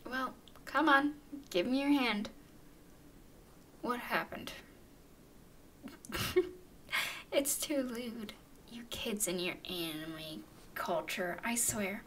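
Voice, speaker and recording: Woman voicing a slow-talking, haughty vampire girl. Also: medium pitch, expressive, a bit bright, slight background noise. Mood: exasperated. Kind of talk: ASMR roleplay, acted monologue to listener.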